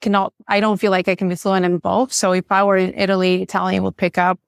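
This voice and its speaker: A woman's voice: medium pitch, little pitch movement, fast talker, creaky, smooth, dry recording, studio quality. A woman, speaking as a podcast guest in a frank, matter-of-fact way. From Bulgaria. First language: Bulgarian. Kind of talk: casual interview chat.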